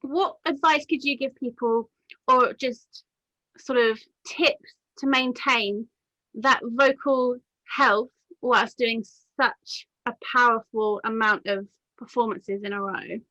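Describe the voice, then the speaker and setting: A woman with a fairly high, bright voice hosts a casual interview. She asks measured questions and laughs along often, recorded dry and close over a video call. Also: steady tone, dry recording, lo-fi sound. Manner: warm backchannels, frequent laughter, polite questions. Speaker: interviewer, channel host.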